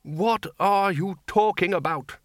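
Brusque, bossy wizard Arch-Chancellor for comic fantasy audiobook, done by a male reader, forceful and very animated. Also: high-pitched, a little slow, no echo, clean studio sound, voice pushed up, heavily acted. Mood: snappish. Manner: snapping, repeating and stumbling over his words.

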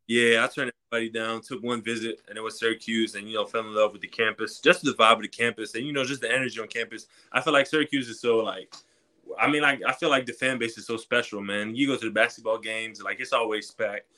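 Fast-talking young man. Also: on the low side. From Quebec, Canada. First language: French. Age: 20s. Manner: relaxed, many fillers like "you know". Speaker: guest, college football player. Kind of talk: casual interview talk.

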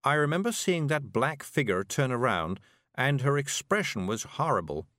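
A man voicing a frail, gentle woman witch who murmurs weakly and dreamily, in an audiobook. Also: medium pitch, sing-song, normal speed, no room sound, studio quality, pitched above the performer's own voice. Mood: weak, dazed. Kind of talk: audiobook character voice.

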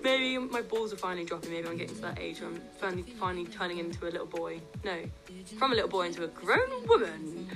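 Young woman, student radio host, slightly croaky, chatty and opinionated, soft music under her. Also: on the low side, sing-song, fast. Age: young adult. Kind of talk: casual radio chat.

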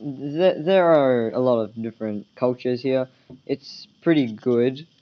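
Teen boy student, mid pitch, hesitant and filler-heavy, muffled lo-fi recording. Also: measured pace. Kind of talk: school podcast interview. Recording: dull low-fidelity school recording.

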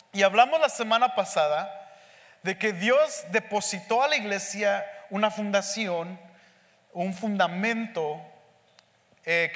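Hombre de voz aguda, predicando en una sala con eco. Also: male voice, high voice, fairly bright tone. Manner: speaks slowly and deliberately, mixing in English words. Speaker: pastor teaching a Bible study. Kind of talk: sermon teaching. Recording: echoey hall with long reverb.

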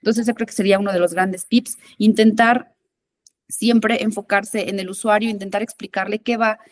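A woman talks as an interview guest, working her thoughts out aloud with frequent fillers and self-corrections. She slips English business terms into her sentences. Her pitch sits in a middle range, and the sound is dry and close. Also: fairly even intonation, normal speed, dry recording. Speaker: interview guest, innovation consultant. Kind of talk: conversational podcast interview.

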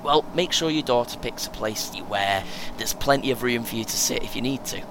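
A man's voice: a little fast, close to an everyday voice. A man playing a cheeky, chatty British runner in his twenties in an audio play, with a casual, laddish manner. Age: young adult. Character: cheeky, chatty young male marathon runner, 23. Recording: clear vehicle rumble noise. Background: vehicle ambience.

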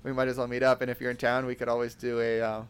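A young man hosting a casual podcast interview, talking in a halting way with lots of fillers and restarts. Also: medium pitch, monotone, slight background noise. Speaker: podcast host.

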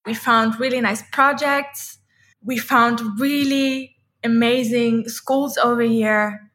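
A woman's voice: a little high, steady tone, slow pace, fairly bright tone. A woman in her thirties whose first language is Dutch, chatting as an interview guest in a rambling way, full of "like" fillers. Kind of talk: casual interview chat.

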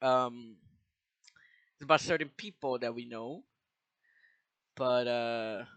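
A man's voice: mid-range voice, slow, no room sound. A man in his twenties hosting a casual podcast chat, swearing often and laughing between lines. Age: 20s. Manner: profane, animated, laughs often. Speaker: podcast host.

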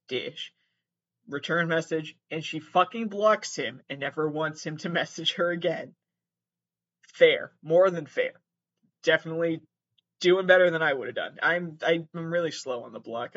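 Male comedic commentator, swooping and animated, halting delivery with sweary, exasperated asides, slightly muffled recording. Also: fairly high pitch. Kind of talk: casual comedic commentary, reading messages aloud.

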